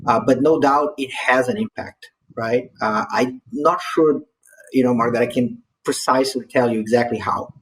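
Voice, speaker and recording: Tentative man from Brazil, mid-pitched voice. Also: normal speed, poor audio. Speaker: panelist, corporate leader. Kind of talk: conversational panel talk.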